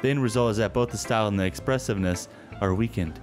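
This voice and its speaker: A shy, sullen teenage girl from a visual novel, played by a man. The voice is dark and low, bookish and gloomy in temper, with music playing behind it. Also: natural voice. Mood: earnest, expert. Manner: stammering when nervous, otherwise measured and bookish.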